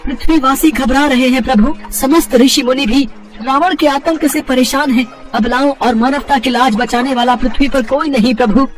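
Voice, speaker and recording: A man playing a desperate god who pleads with urgent, shrill declamation in a mythological story dramatization. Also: normal speed, recorded from a distance, soft background music, rough recording. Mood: frantic pleading. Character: desperate god pleading against a demon king's terror.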